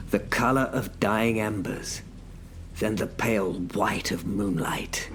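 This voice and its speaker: A man's voice: lowish voice, a little hiss or hum. A man narrating a first-person horror audio drama in a wry, self-mocking way. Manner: wry, self-mocking, dry British humour. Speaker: narrator and lead character.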